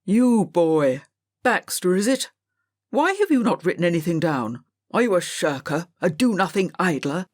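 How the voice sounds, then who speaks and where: A woman's voice: lowish voice, sing-song, moderate pace, darkish tone, no room sound, studio quality. A woman voicing a stern, bullying older male schoolmaster in an audiobook, with a haughty, interrogating manner. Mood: stern, scolding.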